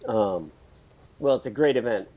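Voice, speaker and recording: A man hosting a podcast in a casual, rambling interview chat, his voice raspy from screaming at a concert. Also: on the low side, lively intonation, normal speed, mellow. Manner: chatty, rambling, laughs often. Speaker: podcast host.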